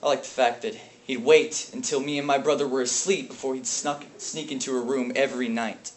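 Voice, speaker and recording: A man performing a dramatic monologue as a haunted, bitter, grieving brother, speaking in a halting, intense way. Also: mid-range voice, steady tone, natural voice. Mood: haunted pain. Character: grief-stricken brother, haunted and bitter over family abuse. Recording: echoey, noisy room from far off.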